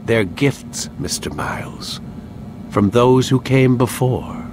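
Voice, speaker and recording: A man's voice: mid-range voice, slow pace, natural voice. A man playing a smug, condescending older scientist in a video game, lecturing articulately. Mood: smug, secretive. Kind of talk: video game cutscene acting. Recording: noisy game scene with ambient hum.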